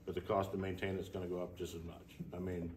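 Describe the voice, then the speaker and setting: A man's voice: sits low, little pitch movement, fast talker, darkish tone, slight background noise. A man laying out costs at a school board meeting, reasoning aloud step by step. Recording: echoey room, far from the mic.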